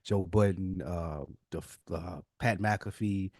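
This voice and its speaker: A man co-hosting a podcast, making a casual, conversational pitch in an emphatic, insistent way, often stacking repeated phrases. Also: slow, darkish tone, dry recording, clean studio sound. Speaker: podcast co-host.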